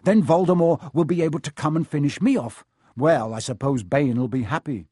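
A man's voice: fairly high pitch, expressive, moderate pace, slightly rough, no echo, clean studio sound, pitched above the performer's own voice. A man voicing an anxious young schoolboy wizard with an urgent, feverish manner in an audiobook. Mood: feverish, agitated. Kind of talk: audiobook character voice.

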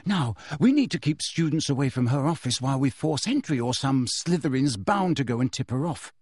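A man's voice: medium pitch, lively intonation, a little fast, a little mellow, slightly rough, no echo, studio quality. A man voicing an earnest, bossy teenage witch girl in an audiobook, with an urgent, anxious manner. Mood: brisk, planning. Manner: urgent, pleading, fierce. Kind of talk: audiobook character voice.

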